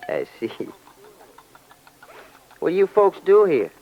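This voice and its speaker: An actor playing a cagey crook in an old radio drama, talking in a casual, slangy and slightly pushy way. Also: male voice, sits high, full character voice. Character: cagey, slangy male crook on the run, scheming. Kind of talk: 1940s-50s radio drama acting. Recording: muffled, noisy old radio recording.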